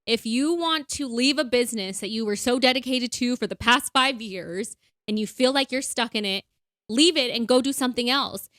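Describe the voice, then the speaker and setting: A woman's voice: a little high, normal speed, a bit bright, no echo, studio quality. A young woman co-hosting a podcast, chatting in a bubbly, casual way with lots of fillers. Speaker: podcast co-host. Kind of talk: casual chat.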